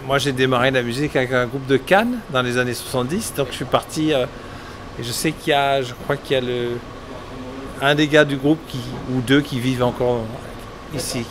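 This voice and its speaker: A man's voice: mid-range voice, moderate pace. Middle-aged man chatting over street noise. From England. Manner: relaxed, rambling, many hesitations and restarts. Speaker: musician guest. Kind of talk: casual interview answers. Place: outdoors at a summer concert event. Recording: outdoor street noise behind. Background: traffic and street ambience.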